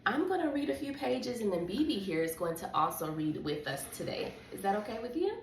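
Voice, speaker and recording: Expressive woman reading a storybook aloud. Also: mid-range voice, brisk, far from the mic. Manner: encouraging, coaxing. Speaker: host of a children's read-along. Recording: echoey room with background noise.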